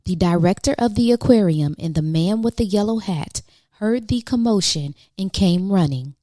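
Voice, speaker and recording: A woman's voice: medium pitch, animated, normal speed, mellow, dry recording. A woman reading a children's picture book aloud as a storytime host, with dramatic questions and exclamations for young listeners.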